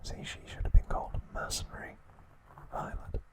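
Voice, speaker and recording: A man narrating scripted ASMR in a breathy, unvoiced whisper, with hushed, deliberate phrasing and pauses. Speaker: ASMR host.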